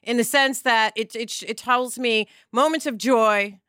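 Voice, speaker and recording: Middle-aged female host, crisp bright tone, informal and playful, dry close recording. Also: fairly high pitch, measured pace, dry recording. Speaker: podcast host. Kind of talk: casual conversational interviewing.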